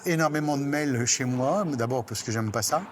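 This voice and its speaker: Man, sixties, interview guest, casual conversation, mid-pitched voice, noticeable background noise. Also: normal speed. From born in Cameroon, raised in central Africa by French parents. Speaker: interview guest, recently retired business network member. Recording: clear background noise and ambience.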